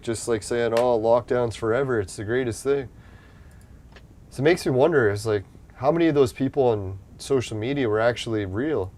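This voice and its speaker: A man's voice: medium pitch, mellow. A young man, rambling casually while he streams a game. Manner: rambling, chatty, swears and laughs. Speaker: gaming streamer. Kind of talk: casual gameplay commentary.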